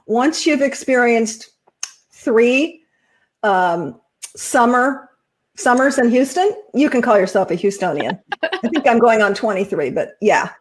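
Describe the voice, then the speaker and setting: A middle-aged woman with a slightly high voice hosts a casual interview show. She encourages her guest, laughs easily and talks in a loose, chatty way. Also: sing-song, a little slow. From Southern California. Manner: warm, encouraging, laughs often. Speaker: host.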